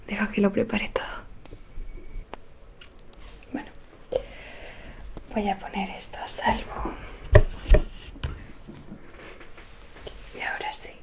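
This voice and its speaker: A woman from playing a gentle, mysterious fortune-telling witch in an ASMR roleplay, speaking in a soft, soothing whisper. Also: medium pitch, creaky. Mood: soothing, confiding. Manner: slow, soothing, confiding.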